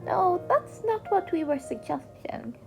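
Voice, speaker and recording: A woman puts on a kindly old lady healer for an audio story. She speaks slowly in a high, grandmotherly voice, gentle and soothing, over soft music. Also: very animated, creaky, heavily acted. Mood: gentle, earnest. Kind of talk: acted storybook dialogue.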